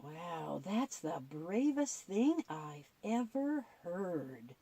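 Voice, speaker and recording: A woman's voice: lowish voice, big pitch swings, very slow, warm tone, breathy edge, no echo, voice pushed down, heavily acted. A woman voicing a goofy, curious little boy puppet in a children's puppet show, wide-eyed and full of questions and exclamations. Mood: amazed.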